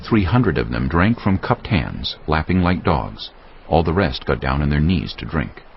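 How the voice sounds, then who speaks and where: A man's voice: extremely low pitch, very animated, unhurried, gravelly, a little hiss or hum. A man narrating a dramatised audio Bible in a scripted, dramatic storytelling style. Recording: muffled audio under music.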